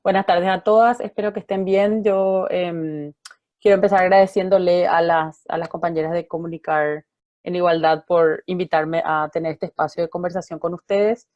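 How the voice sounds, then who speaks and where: A hoarse woman still getting her voice back gives an informal lecture to a class over a video call. She works through her ideas with plenty of fillers and restarted phrases. The audio is dull and dry, with no room sound. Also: on the low side, steady tone, normal speed, darkish tone, dry recording. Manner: thoughtful, many fillers and restarts. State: hoarse, recovering from voice loss. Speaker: lawyer giving an online talk.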